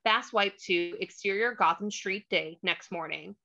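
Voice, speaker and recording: A woman narrating screenplay stage directions in a crisp, dramatic scene-setting manner. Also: mid-range voice, a little slow, sharp tone, highs cut off.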